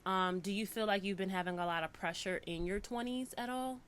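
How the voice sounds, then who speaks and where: Bright-voiced woman podcast host in her thirties. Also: mid-range voice, a little fast, slight background noise. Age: 30s. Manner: animated storyteller, swings from soft musing to loud excitement. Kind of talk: casual podcast chat.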